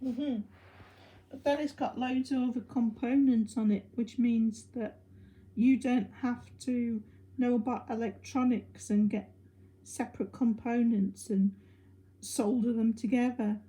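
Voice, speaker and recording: A woman presenting a hobby project in an informal, unscripted demonstration, explaining things in a hesitant, halting way. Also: a little high, fairly even intonation, mellow.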